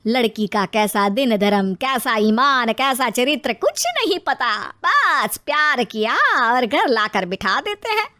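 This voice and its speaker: A woman playing a domineering, high-voiced mother-in-law. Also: expressive, unhurried, few pauses, pushed and bright. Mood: complaining. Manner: scolding, taunting, theatrical. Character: domineering, sharp-tongued middle-aged Indian mother-in-law. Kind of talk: acted moral story dialogue.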